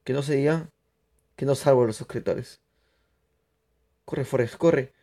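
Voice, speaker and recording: A young man from Peru, chatting excitably to viewers through live gameplay commentary. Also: mid-range voice, a little slow. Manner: chatty, excitable, laughs often, addresses viewers. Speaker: streamer.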